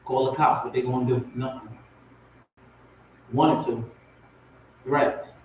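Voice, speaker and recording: A man's voice: medium pitch, steady tone, slow. A man telling his own story informally as a guest poet, emphatic and repeating phrases for weight. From raised in Compton, California and Portmore, Jamaica. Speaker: spoken word poet, guest on a talk show. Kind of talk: personal testimony, informal storytelling. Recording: very echoey, distant, noisy audio.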